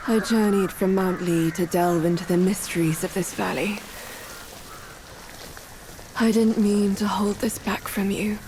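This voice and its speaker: A woman playing a wise, mysterious figure who speaks in hushed, measured tones in a game cutscene. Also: mid-range voice, fairly even intonation, slight background noise, natural voice. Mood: solemn.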